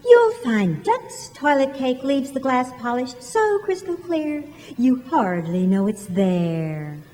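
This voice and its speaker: Woman as slow, lilting radio ad spokeswoman. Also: sits high, big pitch swings, warm tone, exaggerated voice. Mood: persuasive. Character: earnest vintage radio advertisement spokeswoman. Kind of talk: scripted old-time radio commercial. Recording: reverberant hall stage recording.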